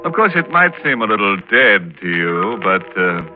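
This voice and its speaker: An actor playing a ghoulish, mock-friendly horror host in 1940s radio style, making macabre wisecracks with drawn-out words. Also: male voice, fairly low pitch, sing-song. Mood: sly mock-friendly. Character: ghoulish, jovially sinister male horror show host. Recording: old muffled radio recording with music.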